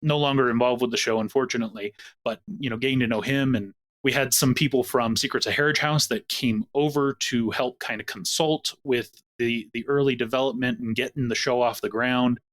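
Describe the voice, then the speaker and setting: A man's voice: medium pitch, steady tone, brisk, hardly any pauses, fairly bright tone. A man who is a showrunner and executive producer, telling stories in an easygoing way during a casual interview.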